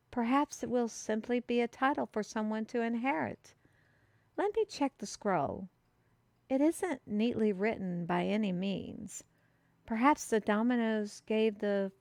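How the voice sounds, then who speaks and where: A woman acts out an earnest female herald in a fantasy audio drama, proclaiming in grand, old-fashioned phrases. The voice sits on the high side and moves slowly, with an excitable streak. The sound is close and dry. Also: no room sound. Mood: musing. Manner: theatrical, proclaiming, old-fashioned formal wording. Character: excitable, earnest female kingdom messenger bearing a food prophecy. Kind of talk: fantasy audio roleplay, speaking to one listener.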